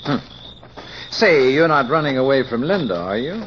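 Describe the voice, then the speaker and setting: A man's voice: mid-range voice, very animated, normal speed, fairly bright tone. A man playing an insistent, puzzled suitor with a questioning manner in 1940s radio drama style. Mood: puzzled, probing. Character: insistent, puzzled male suitor and theatrical manager. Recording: muffled, hissy old radio recording.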